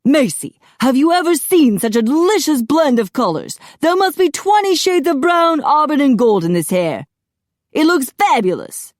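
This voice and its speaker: A woman's voice: high voice, very animated, normal speed, dry recording, clean studio sound, voice pushed up. Woman doing a flamboyant, swooping young male hair stylist. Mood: delighted. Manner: camp, dramatic, effusive.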